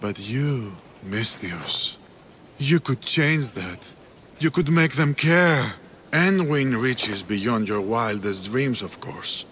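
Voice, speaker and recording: A weary, grand arena master in a game cutscene. He speaks slowly and theatrically, with a bright, lively delivery and faint ambience behind him. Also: medium pitch, slight background noise. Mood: brooding. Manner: hushed, brooding, theatrical. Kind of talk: video game cutscene acting.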